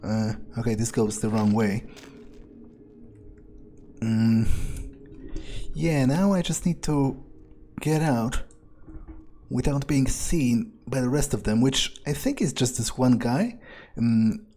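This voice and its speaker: Arrogant, cold-blooded vampire hitman played by a male gamer, mellow and unhurried, thinking aloud, foul-mouthed, faint music behind. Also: mid-range voice, animated. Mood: calculating, irritated. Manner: musing, halting, foul-mouthed. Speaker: gamer playing in character. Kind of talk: in-character game playthrough monologue.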